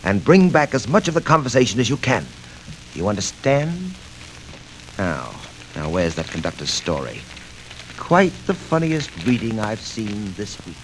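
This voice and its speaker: An actor playing a smug, calculating gang boss in 1940s radio style, deliberate and commanding, with mocking amusement. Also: male voice, on the low side, big pitch swings. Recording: noisy, crackly old radio show record.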